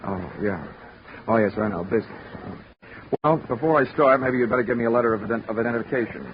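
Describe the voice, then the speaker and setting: An actor playing a wry, flirtatious insurance investigator with deadpan delivery in 1940s radio detective drama. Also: male voice, mid-range voice, fast, noisy background, natural voice. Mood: flirtatious, bantering. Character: wisecracking flirtatious male freelance insurance investigator. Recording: dull vintage radio recording with music behind.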